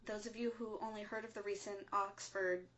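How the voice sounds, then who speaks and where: A woman playing a composed, earnest resistance radio broadcaster in a scripted audio drama, speaking in a measured, solemn way. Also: medium pitch, steady tone, a bit bright, highs cut off, lightly acted. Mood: calm, serious. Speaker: radio show host.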